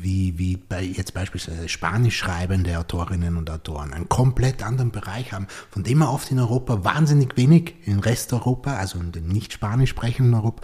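A man's voice: lowish voice, a little fast, hardly any pauses, warm tone, husky. A man hosting a podcast, chatting casually in long, enthusiastic, rambling turns. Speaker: podcast host. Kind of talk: casual interview conversation.

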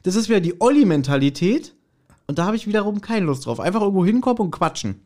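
Ein Mann, der als Podcast-Host locker und gesprächig plaudert, scherzhaft und selbstironisch. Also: male voice, sits high, big pitch swings, fast talker, darkish tone. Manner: chatty, self-mocking, jokey. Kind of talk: casual, joking podcast chat.

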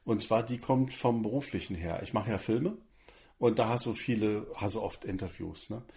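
A man co-hosts a relaxed podcast chat in a low, gravelly voice. He strings his thoughts into long, flowing sentences and drops dry jokes along the way. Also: moderate pace. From Germany. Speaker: podcast co-host. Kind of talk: casual chat.

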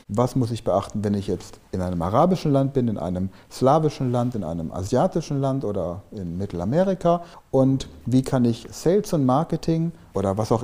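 A man's voice: mid-range voice, warm tone. A man as an interview guest, a business mentor who explains his work in a conversational way and tells anecdotes.

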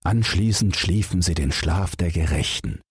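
Deep, very husky male storyteller. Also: dry recording, studio quality. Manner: measured, expressive storytelling. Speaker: audiobook narrator. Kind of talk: scripted bedtime fairy-tale narration.

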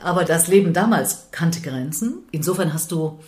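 A woman's voice: deep voice, expressive, normal speed, darkish tone. A woman in her sixties from Thuringia, hosting a podcast interview with curious, easy questions. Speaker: podcast host. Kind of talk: conversational interview.

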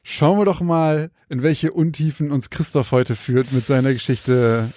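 A man's voice: mid-range voice, fairly even intonation, a little slow, a little mellow, no echo. Man chatting, very dry recording. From Germany. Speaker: podcast co-host. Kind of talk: casual chat.

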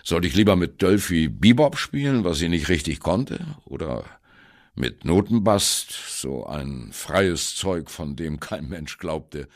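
An actor playing a male jazz musician who wryly and candidly recalls his youth in a radio play monologue, in a gravelly voice. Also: low-pitched, sing-song, slow, heavily acted. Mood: wry reminiscing. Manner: wry, candid, self-deprecating. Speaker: narrator of an audio drama. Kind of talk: first-person radio play monologue, storytelling.

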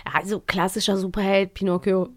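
A woman's voice: medium pitch, little pitch movement, fairly quick, no room sound, studio quality. A woman in her thirties from Cologne, chatting informally and jokingly as a podcast co-host. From Cologne, Germany. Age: 30s. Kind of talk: casual chat.

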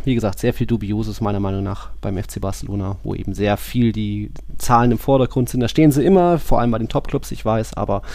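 A man's voice: lowish voice, gravelly, darkish tone, no echo. A man in a casual football discussion, speaking colloquially and with a sceptical manner. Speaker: football podcast host.

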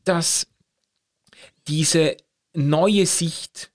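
A man's voice: a little high, steady tone, drawn-out words. Curious chatty male interviewer. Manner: curious, chatty, rephrases his questions. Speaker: interviewer, host. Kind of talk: conversational interview.

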